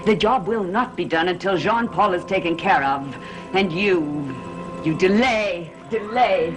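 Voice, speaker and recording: A woman's voice: fairly low pitch, expressive, measured pace, music in the background. An actress playing a stern, sardonic coven woman in a gothic soap opera, imperious and biting. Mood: accusing.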